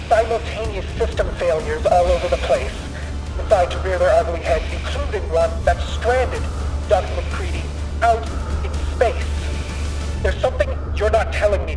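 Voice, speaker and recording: A man's voice: high-pitched, natural voice, low-quality recording. A man playing a distraught, commanding astronaut in a science fiction game, insistent, accusing and emphatic. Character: distraught, commanding male astronaut demanding answers from computer. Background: music.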